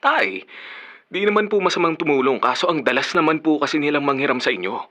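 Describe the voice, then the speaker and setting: A man's voice: on the high side, lively intonation, quick pace, sharp tone. A man playing an adult son who is protective of his father and resentful, in a Filipino radio drama. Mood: aggrieved.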